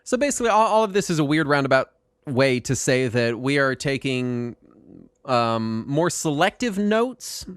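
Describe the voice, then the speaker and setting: A man's voice: medium pitch, big pitch swings, moderate pace, clear, resonant tone, no echo, studio quality. A man hosting a casual podcast, a bit congested from a cold, talking in a rambling way with lots of ums and restarts. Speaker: podcast host.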